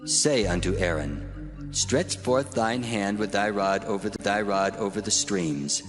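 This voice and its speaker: The divine voice, deep-ish, grave commands, long reverb, audio drama. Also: male voice, lively intonation, measured pace. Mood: commanding. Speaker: the Lord in a dramatized Bible reading. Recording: reverberant hall effect. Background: music.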